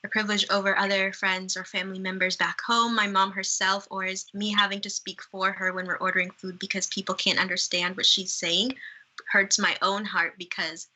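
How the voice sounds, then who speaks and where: A woman's voice: medium pitch, little pitch movement, a little fast, sharp tone, low-quality recording. A young woman from Uganda with a Canadian accent, speaking candidly as a guest. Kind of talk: casual conversation.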